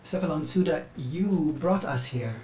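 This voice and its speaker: Earnest game AI servant: polite, anxious, excitable, very lively intonation. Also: male voice, on the high side, measured pace. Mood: alarmed. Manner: polite, fretful, formal. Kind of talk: dramatic video game voice acting. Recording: game music behind the voice.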